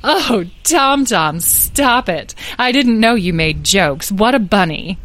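A woman's voice: mid-range voice, lively intonation, slow, a bit bright, a little hiss or hum, voice pushed up. A woman voicing a tiny, wry fairy in a children's story, with a drawling, teasing manner.

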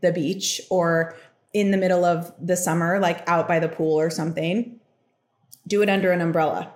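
A woman's voice: fairly low pitch, steady tone, a little mellow. Chatty young woman in a roomy space. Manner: chatty, many "like" fillers, quick asides. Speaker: podcast host giving a reading. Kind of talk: casual explanatory chat. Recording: roomy echo.

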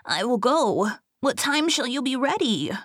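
Plucky little storybook pig, played by a woman, high and squeaky, well-mannered yet defiant. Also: big pitch swings, measured pace, a bit bright, husky, dry recording, studio quality, full character voice. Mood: chirpy. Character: small plucky young male pig, polite but defiant. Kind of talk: storybook character voice.

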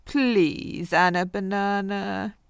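A woman's voice: mid-range voice, slow, gravelly, a little hiss or hum. A woman playing an eager, coaxing superhero in a comic audio drama, wry and persuasive.

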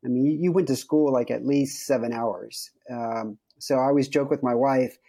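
Upbeat American man hosting a podcast, mellow voice. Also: mid-range voice, steady tone, moderate pace, husky. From United States. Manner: chatty, jokey, laughs often. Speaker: podcast host.

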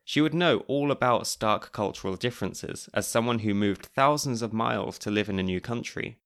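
A man's voice: lowish voice, normal speed, a bit bright. A man with a British accent, hosting a podcast and narrating its scripted segments in a wry, chatty way. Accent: British English. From Britain. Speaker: podcast host.

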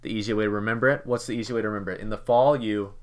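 A man's voice: fairly low pitch, steady tone, racing. Rambling man hosting a podcast. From Kentucky, United States. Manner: rambling, jokey, asks the cohost leading questions. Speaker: podcast host. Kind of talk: casual podcast chat.

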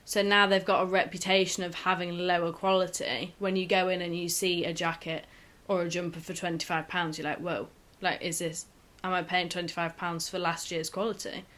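A young woman hosting a relaxed podcast from her home. Her voice is bright and forward, her talk rambling and chatty. She laughs often between her thoughts. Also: on the low side, fairly quick, few pauses. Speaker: podcast host. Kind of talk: casual chat between friends. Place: shared home.

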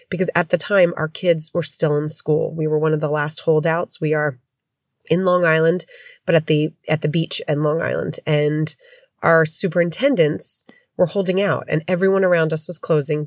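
Congested middle-aged New woman, low-pitched, rambling podcast host, dry room. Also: dry recording. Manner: chatty, rambling, candid. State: congested with a head cold. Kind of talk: casual podcast chat. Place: home.

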